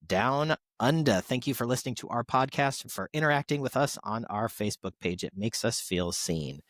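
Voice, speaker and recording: A chatty male podcast co-host reads listener shout-outs in a casual, appreciative way. His voice sits at an average pitch, recorded close and dry with very little room sound. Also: expressive, normal speed, no room sound.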